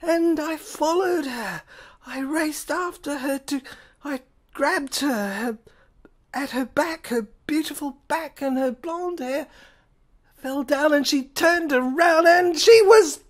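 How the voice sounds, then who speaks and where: A woman narrating an audio play in a halting, fragmented, intense first-person dramatic style. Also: high voice.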